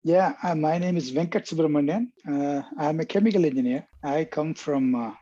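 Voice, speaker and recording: An older man from southern India, talking haltingly as an interview guest with many uhs, often tacking "yes" onto his sentences. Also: on the high side, warm tone. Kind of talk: conversational interview. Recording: dull lo-fi line.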